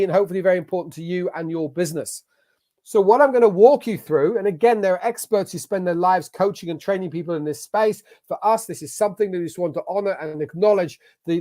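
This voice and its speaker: A man's voice: sits high, fast talker, mellow. A man with an English accent giving an online masterclass as a business coach, talking in an emphatic, motivational way. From England. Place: at a computer sharing his screen.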